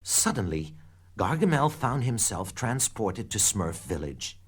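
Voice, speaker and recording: A man narrating a scripted children's read-along story in an expressive, dramatic storytelling manner. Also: on the low side, a little slow. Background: background music.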